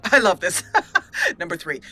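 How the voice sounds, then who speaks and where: A woman's voice: lowish voice, pushed and bright. A woman hosting a let's play, commenting casually and thinking aloud as she plays. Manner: chatty, thinking aloud with fillers and laughs.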